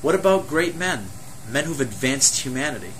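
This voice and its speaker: A young man from Egypt giving a personal testimony in an earnest, measured way, with many rhetorical questions. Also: mid-range voice, big pitch swings, fairly bright tone, a little hiss or hum, low-quality recording.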